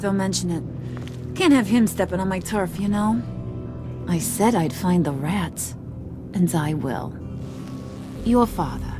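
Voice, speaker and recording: A woman's voice: medium pitch, unhurried, pauses often, natural voice. An actress playing a cocky, volatile female gang boss in a video game, American, teasing and slangy, slipping from singsong to sinister. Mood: probing, menacing. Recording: loud game music underneath.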